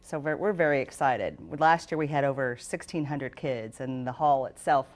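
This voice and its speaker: Woman, deep voice, interview guest, event organizer explaining details, studio talk. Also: normal speed.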